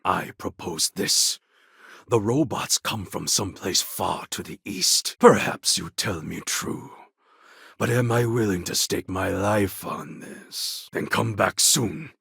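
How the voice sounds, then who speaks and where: Gravelly, proud warlord. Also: male voice, fairly low pitch, animated, a bit bright, no echo, clean studio sound, full character voice. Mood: earnest, resolute. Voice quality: gravelly, husky. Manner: grandiose, formal, deliberate phrasing. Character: proud defiant cloned warlord, impassioned rebel leader. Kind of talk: dramatic video game character acting.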